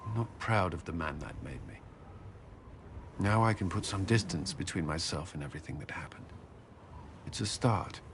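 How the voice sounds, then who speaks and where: An actor playing an earnest, humbled, dutiful warrior in a video game, speaking sincerely and haltingly. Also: male voice, sits low. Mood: remorseful. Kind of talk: video game cutscene dialogue. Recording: clear background ambient noise.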